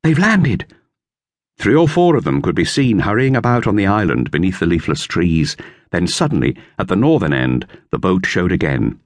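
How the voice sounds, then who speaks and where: A man reading a children's novel as an expressive audiobook narrator. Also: sits low, brisk, no room sound, clean studio sound.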